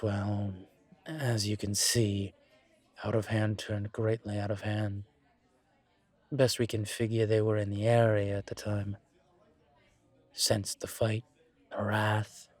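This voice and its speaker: A man playing a composed, wry incubus investigator in a scripted roleplay audio drama, speaking in a measured, deliberate, conversational way. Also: lowish voice, a little mellow, no echo. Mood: grave, explaining. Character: composed, wry male incubus demon investigator, politely self-assured.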